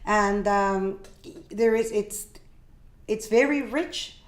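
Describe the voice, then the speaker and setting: A woman's voice: medium pitch, slow pace. Older woman in an echoey room. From Peru. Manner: explanatory, checks in with "right?" and "you know". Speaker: podcast guest, organic food business owner. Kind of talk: conversational interview.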